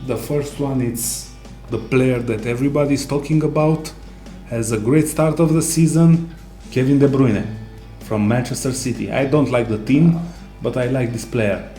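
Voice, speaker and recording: A man co-hosting a casual sports discussion, speaking haltingly with non-native English phrasing. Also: medium pitch, mellow. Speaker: co-host. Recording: roomy echo, music underneath.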